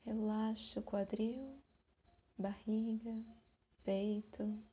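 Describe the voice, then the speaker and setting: A Brazilian woman guiding a meditation in a soothing, unhurried manner, with long pauses between short phrases. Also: mid-range voice, steady tone, dark tone, breathy edge, dull audio. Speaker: meditation guide. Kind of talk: slow guided meditation.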